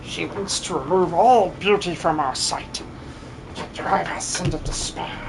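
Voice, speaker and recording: Man putting on a creepy, greedy, breathy little creature. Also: high voice, unhurried. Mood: sly, resentful. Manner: hissing, muttering, repeats yes and precious. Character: fawning, creepy treasure-obsessed creature, greedy and twitchy. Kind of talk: amateur video game dub.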